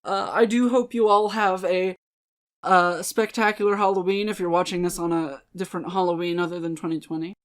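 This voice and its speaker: A woman's voice: fairly low pitch, fairly even intonation, brisk. A woman talking casually to her listeners as a video creator, rambling and poking fun at herself. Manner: rambling, self-deprecating. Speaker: video creator and dramatic reader.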